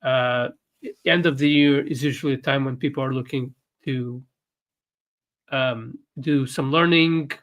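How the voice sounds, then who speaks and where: A man's voice: medium pitch, steady tone, measured pace, fairly bright tone, lo-fi sound. A man whose first language is Portuguese, co-hosting a casual livestream and talking hesitantly with many fillers. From Portuguese-speaking country. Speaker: co-host, runs online academy.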